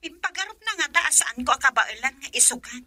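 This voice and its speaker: Isang babaeng gumaganap bilang anak na dalagang nasasaktan sa pag-ibig, na may punto ng Ilocano, sa isang radio drama. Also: female voice, sits high, animated, a little fast, heavily acted. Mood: emotional. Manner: emotional, shifts from soft sighs to loud pleading. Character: young woman torn over love, daughter.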